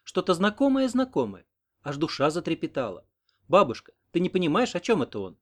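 Man voicing a coquettish, self-assured young village woman, lifted in pitch. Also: big pitch swings, fast talker, a bit bright, heavily acted. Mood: teasing. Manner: coquettish, teasing. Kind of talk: audiobook character reading.

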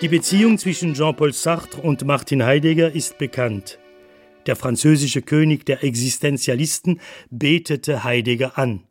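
A man delivering scripted documentary narration in a measured, factual manner. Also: a little high. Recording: music faintly under narration.

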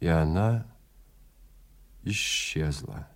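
A man's voice: extremely low pitch, expressive, very slow. A man narrating a scripted story in a dramatic style.

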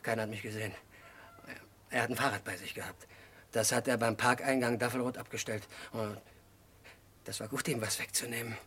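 A disturbed, childlike killer in a radio crime drama. His voice is husky and medium-pitched. He confesses in short, halting fragments, swinging between hushed and agitated. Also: fairly even intonation. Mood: brooding, secretive. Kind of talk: mid-century German radio crime drama acting.

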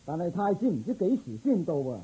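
An actor playing a loyal, thoughtful palace guard in a radio drama, earnest and reasoning. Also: male voice, high-pitched, sing-song, a little fast, mellow, husky, heavily acted, low-quality recording. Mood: attentive.

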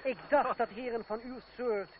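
High-voiced, mocking French swashbuckler. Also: male voice, fairly even intonation, normal speed, slight background noise. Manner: mock-polite, ironic, taunting. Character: cocky, mocking French swordsman, witty and unafraid. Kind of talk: radio play acting. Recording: muffled old radio drama recording, crowd behind.

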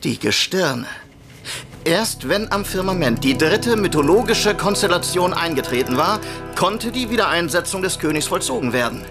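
Mystical professor, slightly high voice, formal, deferential, oracular, radio play. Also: male voice, lively intonation, normal speed, music in the background. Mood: portentous. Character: deferential male professor, grandly mystical, self-assured. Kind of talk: radio drama dialogue.

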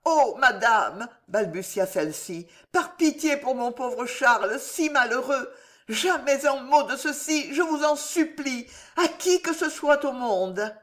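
A reader voicing a pleading royal mistress. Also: female voice, high voice, lively intonation, slow, voice pushed up. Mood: imploring. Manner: soft, imploring. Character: king's devoted young mistress, anxious and pleading.

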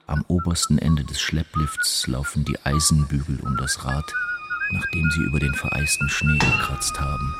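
A man reading diary entries as a scripted dramatic narration, in a deliberate, literary manner. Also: extremely low pitch, wildly swooping pitch. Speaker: narrator of an audio piece. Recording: loud music mixed in.

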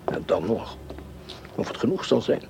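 An actor playing a scheming, greedy, darkly poetic doctor in a radio play, musing deliberately. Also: male voice, heavy background noise, lightly acted. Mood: brooding, musing. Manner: musing, deliberate, talking to himself. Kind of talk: radio play acting, interior monologue and dialogue.

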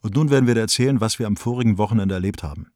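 Man playing a chummy low-voiced young bohemian. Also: rapid-fire, darkish tone, dry recording, clean studio sound, lightly acted. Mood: cheerful, cosy. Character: lively, chummy young East Berlin bohemian man, letter writer. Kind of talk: radio drama, letter read aloud.

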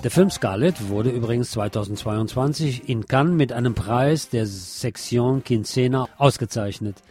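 A man's voice: medium pitch, normal speed, over music. A man giving a personal, opinionated film review in a conversational radio commentary style.

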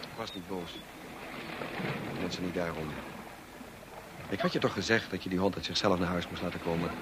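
An actor playing a moody, short-tempered middle-aged husband in a Dutch radio drama, with a curt and commanding way of talking. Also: male voice, sits low, fairly even intonation, moderate pace, close to an everyday voice. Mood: bitter, defensive. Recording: noisy old radio play recording.

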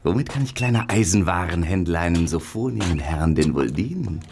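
Servile ironmonger for radio drama: ingratiating flattery, crackly low voice with theatrical swings, faint noise behind. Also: male voice. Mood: fawning, eager. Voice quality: gravelly, creaky. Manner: oily, ingratiating, drawn-out flattery. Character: obsequious, fawning male ironmonger, servile and flattering. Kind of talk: German radio play dialogue.

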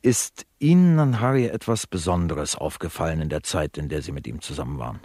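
A slick male radio host in a dystopian satire. His voice sits very low with a soft husk, in a dry studio. He stays smooth and unflappable, talking in polished presenter phrases. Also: animated, brisk, no room sound, heavily acted. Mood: businesslike, smooth. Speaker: radio talk-show host. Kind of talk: radio play acting, presenter patter.

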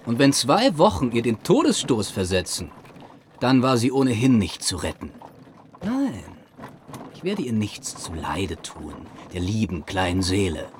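Conceited young gentleman with animated delivery. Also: fairly low pitch, a little slow, slight background noise. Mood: musing, self-assured. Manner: self-assured, theatrical, playful phrasing. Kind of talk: period literary radio drama.

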